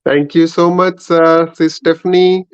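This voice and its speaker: Slow, halting Indian-accented man. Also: on the high side, fairly even intonation, clear, resonant tone, dry recording, muffled recording. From Surat, India. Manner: halting, deliberate. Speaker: show moderator. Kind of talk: live online show presenting.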